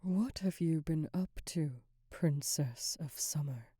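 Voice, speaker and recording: A woman acts out a stern, regal snow queen from a fantasy ASMR roleplay, in a low, very dark and muted voice. She speaks slowly and formally, with restrained, imperious authority. It is recorded close and dry. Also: slightly rough, no room sound, heavily acted. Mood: stern, icy. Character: cold, stern, regal snow queen hiding her vulnerability.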